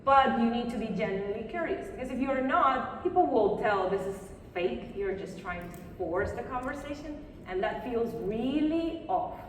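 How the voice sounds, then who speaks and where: Woman conference speaker, encouraging and direct, raised pitch, heard through heavy room echo. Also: animated, normal speed, hardly any pauses. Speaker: public speaking coach giving a conference talk. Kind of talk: live talk with audience questions, coaching advice. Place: conference session room before a live audience. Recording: very echoey hall, far microphone, faint noise.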